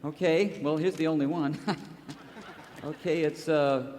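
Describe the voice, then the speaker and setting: A man's voice: a little high, fairly even intonation, a little slow, a little mellow. A playful, folksy man doing exclamatory live comic banter as a radio show sidekick. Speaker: comedy radio show cast member, sidekick. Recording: live stage hall reverb, faint noise.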